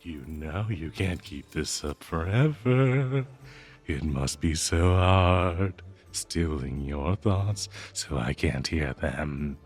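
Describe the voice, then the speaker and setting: A man's voice: a bass voice, lively intonation, faint music behind, exaggerated voice. A man playing a cursed talking dagger, possessive, lovestruck and bloodthirsty, in a melodramatic, cooing audio roleplay addressed to the listener. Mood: cooing, sinister. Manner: melodramatic, cooing, slow drawn-out delivery with manic giggles.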